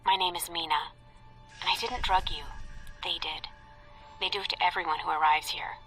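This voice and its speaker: A woman's voice: fairly low pitch, steady tone, moderate pace, bright, forceful tone, soft background music. An actress playing a guarded, matter-of-fact young woman agent in a video game, clipped and cool. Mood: cool, businesslike.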